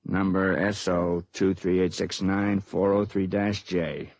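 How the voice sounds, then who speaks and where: Gruff, low-voiced army sergeant. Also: male voice, flat delivery, slow, a little mellow, no room sound. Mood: weary, matter-of-fact. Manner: colloquial, barking orders. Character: gruff, slangy army sergeant among battlefield wounded. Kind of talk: 1950s radio acting. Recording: noisy 1950s radio drama recording.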